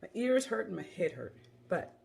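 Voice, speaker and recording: A woman with a cold and a hoarse voice, giving chatty, rambling opinion commentary into her phone. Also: medium pitch, very animated, moderate pace, a little mellow. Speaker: commentary vlogger.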